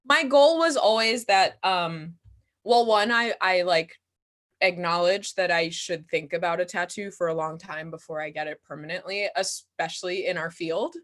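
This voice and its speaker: A woman's voice: lowish voice, normal speed. An American woman speaking casually and giggly, answering questions after a show as an actor and playwright. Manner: giggly, animated, informal. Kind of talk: casual post-show talk.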